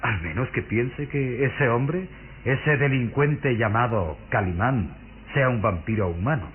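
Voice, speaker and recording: An actor playing a sinister, coldly courteous aristocratic count in a radio drama, suave, measured and theatrical. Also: male voice, mid-range voice, a little hiss or hum, close to an everyday voice. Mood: ominous, dismissive. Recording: tinny lo-fi vintage radio recording with music.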